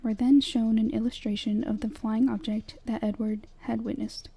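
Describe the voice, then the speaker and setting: A young woman narrating a scripted horror breakdown in a soft, breathy, hushed whisper, telling it in a measured way. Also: on the high side, little pitch movement.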